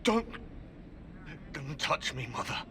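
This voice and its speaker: Hurt young soldier guy, scared, slow strained creaky voice, in pain. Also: a little high, big pitch swings, pushed and bright, slight background noise, exaggerated voice. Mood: pained, fearful. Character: wounded, frightened young male soldier. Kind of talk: fantasy game dialogue.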